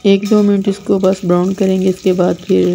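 A woman's voice: medium pitch, flat delivery, moderate pace, gravelly, dark tone, slight background noise. A woman with a Pakistani Urdu accent, hosting a cooking channel and explaining a recipe step by step in a plain, repetitive instructional way. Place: home kitchen.

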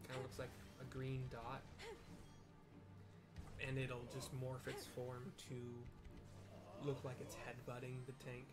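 A middle-aged man casually describing what happens in a video game as he plays, in a halting, conversational way. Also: mid-range voice, monotone. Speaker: game streamer, narrator. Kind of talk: casual play-by-play with audio description of gameplay. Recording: loud game music behind voice. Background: loud game music.

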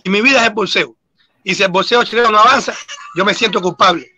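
A Cuban boxing coach with a Cuban accent, talking earnestly and repeating himself as a guest on a video-call panel. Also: male voice, sits high, fast talker, sharp tone, dry recording. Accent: Cuban Spanish. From Cuba.

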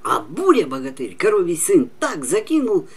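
A man in his seventies narrating a fairy tale expressively and emphatically, with dramatic pauses and drops to a near whisper. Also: high voice, huge pitch swings, breathy edge. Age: 70s. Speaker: storyteller.

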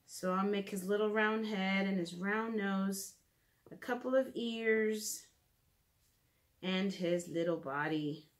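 Gentle woman teaching preschoolers to draw. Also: mid-range voice, slow pace. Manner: gentle, simple wording, thinking aloud while drawing. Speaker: children's art and reading teacher. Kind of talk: simple narrated drawing lesson for preschoolers. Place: living room at home, indoors. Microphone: camera a few feet away.

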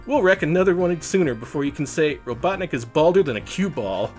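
A man's voice: fairly high pitch, animated, brisk, darkish tone, faint music behind, voice pushed up, lightly acted. A man voicing a smug, boastful young hedgehog hero in a storybook. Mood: taunting. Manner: smug, breezy. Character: cocky, boastful, self-important young male hedgehog hero.

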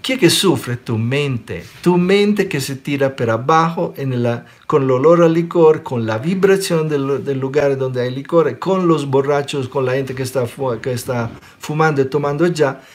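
A man in his forties from Sicily who speaks with an Italian accent, giving an animated spiritual talk in an online question-and-answer session and often checking in with listeners. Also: mid-range voice, unhurried, hardly any pauses. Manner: animated, gestures a lot, checks in with listeners by asking "ok?". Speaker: spiritual teacher. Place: small room indoors. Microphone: webcam close up.

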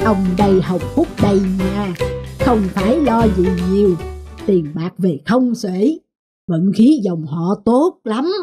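A woman voicing a sly, ominous male fortune-teller in a folk-tale cartoon, portentous and drawn-out, music behind. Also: mid-range voice, lively intonation. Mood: smug.

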